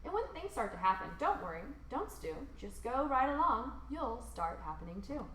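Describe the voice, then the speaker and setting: A woman with a fairly high, very lively voice reads a rhyming picture book aloud to children. She leans into the rhymes with theatrical emphasis, stretching words for drama. The recording has a clearly roomy echo. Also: moderate pace, slight background noise. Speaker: storybook reader.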